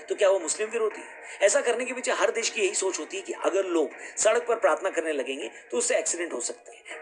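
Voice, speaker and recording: A man presenting scripted commentary in an emphatic way, putting pointed rhetorical questions straight to viewers. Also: high-pitched.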